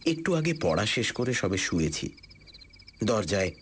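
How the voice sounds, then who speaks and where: A man narrating a suspense story for radio in the first person, deliberate and theatrical with dramatic pauses. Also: on the low side, very animated, a little hiss or hum. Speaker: story narrator and lead character. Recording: suspense music behind the voice.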